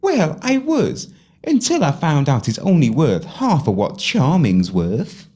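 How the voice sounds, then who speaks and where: A woman's voice: sits low, wildly swooping pitch, measured pace, warm tone, slightly rough, exaggerated voice. Woman as a haughty stepsister, snooty, bossy, dramatic swoops, theatrical audio panto. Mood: scheming.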